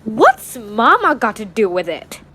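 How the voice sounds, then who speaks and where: Teen girl playing a blunt, high-voiced cynical factory girl. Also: wildly swooping pitch, unhurried, bright, forceful tone, breathy edge, exaggerated voice. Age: teenage high school student. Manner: dismissive, matter-of-fact. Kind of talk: radio drama acting.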